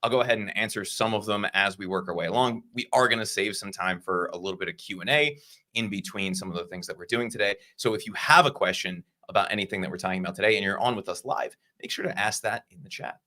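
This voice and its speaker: A man co-hosting a casual livestream podcast, energetic and enthusiastic, talking to the live chat through a desk mic on a video call. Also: lowish voice, quick pace. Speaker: podcast co-host.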